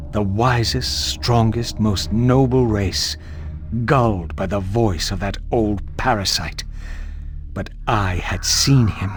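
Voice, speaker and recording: An actor playing a bitter, brooding undead wraith in a video game, with grave, theatrical, deliberate phrasing. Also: male voice, on the low side, lightly acted. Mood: scornful, resolute. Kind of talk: dramatic video game dialogue and monologue. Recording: music behind the voice.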